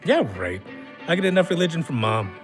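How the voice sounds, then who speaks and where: A man's voice: fairly high pitch, big pitch swings, a little fast, soft background music. A man playing a cynical, foul-mouthed drinker, blunt and mocking, in a naturalistic audio drama. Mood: irritated. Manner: sarcastic, blunt, gruffly dismissive.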